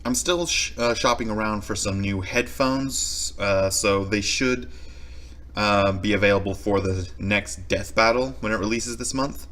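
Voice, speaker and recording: Man, reaction host, lowish pitch, bright forceful voice, casual explanatory commentary. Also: measured pace.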